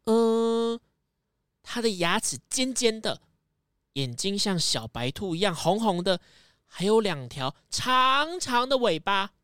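A woman's voice: mid-range voice, sing-song, slow pace, a bit bright, smooth, no room sound, studio quality. A woman playing a timid, frightened small child in a children's audio mystery drama. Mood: shy, earnest. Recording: music behind the voice.

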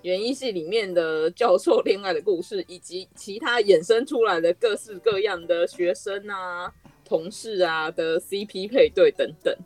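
A woman's voice: medium pitch, normal speed, a little mellow, no echo, faint music behind. A woman with a Taiwanese Mandarin accent hosting a podcast, explaining things in a conversational way. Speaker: podcast host.

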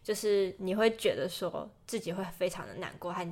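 A woman's voice: fairly low pitch, very animated, fairly quick, darkish tone. A young woman with a Taiwanese Mandarin accent, co-hosting a podcast and chatting casually through prepared points. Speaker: podcast co-host.

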